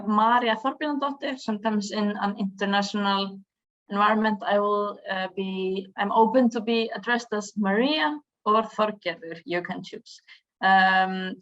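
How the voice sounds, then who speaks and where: A woman's voice: medium pitch, fairly bright tone. A young woman in her twenties with an Icelandic accent, chairing a youth environmental association and giving an online conference presentation, hesitant, with many ums and restarts. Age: 20s. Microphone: video call. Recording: dull lo-fi video call audio.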